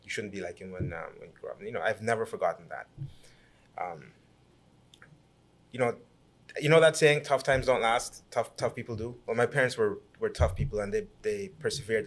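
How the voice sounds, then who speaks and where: A man from Guyana in his thirties, a podcast guest telling his life story in a lively, halting way with many restarts. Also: fairly low pitch. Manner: animated storyteller with frequent restarts and repeated words. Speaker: guest, businessman and city councillor. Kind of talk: conversational podcast interview.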